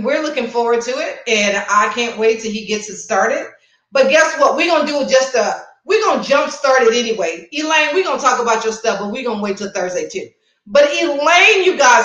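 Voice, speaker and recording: Woman livestream host, chatty and playful, high voice, roomy echo. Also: expressive, a little fast, a bit bright. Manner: chatty, playful, addresses viewers directly. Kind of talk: casual livestream chat with promotions.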